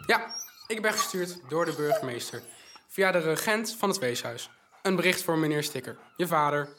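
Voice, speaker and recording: A man acts out a young orphan messenger boy in an audio drama. His voice sits fairly high, and he is courteous and eager, reporting each piece of news with careful detail. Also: lively intonation, normal speed, background noise, close to an everyday voice. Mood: polite, dutiful. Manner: polite, informative, recites news in detail.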